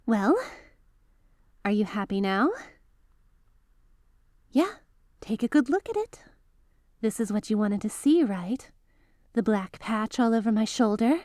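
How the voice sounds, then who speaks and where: A woman's voice: fairly high pitch, dark tone, no echo, studio quality. A woman voicing a yandere veteran magical girl, an obsessive, lovestruck teacher, in an ASMR roleplay audio drama with a coaxing, confiding manner. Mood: flustered, defensive.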